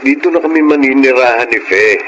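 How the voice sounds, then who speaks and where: A man's voice: mid-range voice, brisk, noisy background, lightly acted. An actor playing an earnest, polite family man and father in a Filipino radio drama. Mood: polite, concerned.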